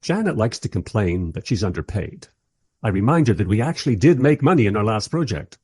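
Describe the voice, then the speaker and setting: Man playing a wry, husky film director. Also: lowish voice, very animated, a little fast, mellow, dry recording. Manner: conversational, dryly sardonic, diplomatic. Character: wry, long-suffering male documentary director, placating and sardonic. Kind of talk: first-person radio play narration and dialogue.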